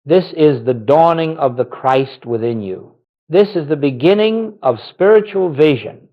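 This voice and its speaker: A man delivering a scripted spiritual sermon in a solemn, gentle manner, with short, deliberate phrases. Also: a little high, animated, muffled recording. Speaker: spiritual teacher.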